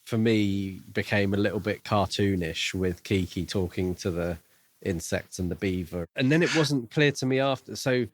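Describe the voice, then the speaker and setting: Lowish-voiced man, jokey podcast co-host. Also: normal speed, no room sound. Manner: jokey, giggly, animated retelling. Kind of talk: casual book discussion.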